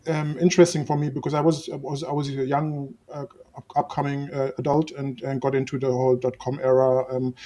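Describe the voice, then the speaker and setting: A man who grew up in Spain, introducing himself as a livestream guest on a video call, halting and full of restarts. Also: mid-range voice, a little mellow. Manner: hesitant, many fillers and restarts. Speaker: guest, agency owner.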